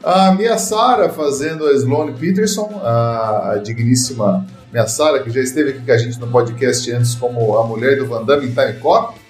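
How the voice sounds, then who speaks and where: A man's voice: medium pitch, moderate pace, hardly any pauses, a little mellow, faint music behind. Enthusiastic Brazilian man hosting in an echoey room. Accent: Brazilian Portuguese. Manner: enthusiastic, peppered with "cara" and "né". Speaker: podcast host. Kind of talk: casual film podcast chat.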